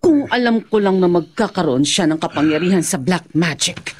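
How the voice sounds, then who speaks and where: A woman's voice: on the low side, lively intonation, moderate pace, breathy edge. Ruthless Filipino female crime boss. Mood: seething. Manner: cold, menacing. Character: ruthless vengeful female syndicate big boss. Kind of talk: Filipino radio horror drama acting.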